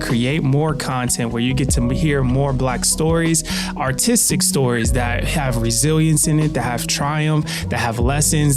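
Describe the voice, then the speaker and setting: Male podcast guest, mid-pitched, informal and reflective, conversational, music underneath. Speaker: podcast guest, author and producer. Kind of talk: conversational interview and listener address.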